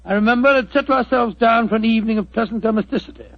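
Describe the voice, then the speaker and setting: A man's voice: very high voice, rapid-fire, no echo. An actor playing a genial, bumbling older doctor, who stammers and chuckles as he tells a tale in 1940s radio acting. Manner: blustery and stammering, chuckles often. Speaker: narrator of the tale within the play. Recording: dull 1940s radio recording.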